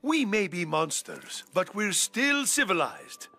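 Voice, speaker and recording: Anxious young male monster, very high bright voice, fussy, dramatic, cartoon acting. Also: very animated, slow, vocal fry, soft background music, exaggerated voice. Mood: prim. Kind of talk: animated children's cartoon acting.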